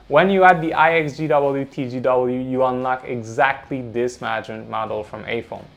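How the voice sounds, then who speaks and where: A man delivering scripted corporate explainer narration in a measured, instructional way. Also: medium pitch. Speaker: presenter of a product explainer. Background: background music.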